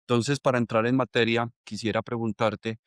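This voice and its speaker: A man's voice: mid-range voice, flat delivery, moderate pace, no room sound, studio quality. A man hosting a podcast, reading an upbeat intro and chatting in a friendly way. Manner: upbeat, friendly, addresses listener directly. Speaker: podcast host. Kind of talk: scripted intro then casual interview.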